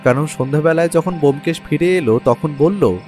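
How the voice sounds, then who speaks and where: An actor playing a detective's curious, watchful companion, narrating the case in the first person. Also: male voice, a little high, a little fast, warm tone, faint music behind, close to an everyday voice. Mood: reflective.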